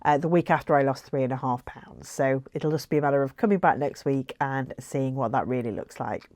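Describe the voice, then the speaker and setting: A woman talks to her camera about her weight-loss progress, chatty and reflective, with plenty of ums and little laughs. Her voice sits low for a woman. The sound is close and dry, with no room ring. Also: fairly quick, a little mellow, no room sound. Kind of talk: casual talking to camera.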